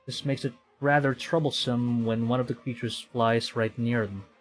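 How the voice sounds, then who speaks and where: A man's voice: mid-range voice, steady tone, moderate pace. A man from the Philippines streaming a visual novel, reading the story aloud and muttering his own reactions in between. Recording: music behind the voice. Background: game music.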